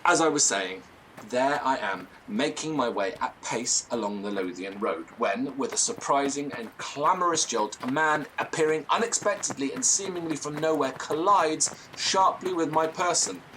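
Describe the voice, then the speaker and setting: An actor playing a pompous, excitable gentleman who speaks in florid, theatrical language in an audio drama. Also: medium pitch, moderate pace, a little hiss or hum, natural voice, rough recording. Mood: self-important. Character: pompous, excitable Victorian-style gentleman storyteller. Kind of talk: dramatic first-person storytelling in audio drama.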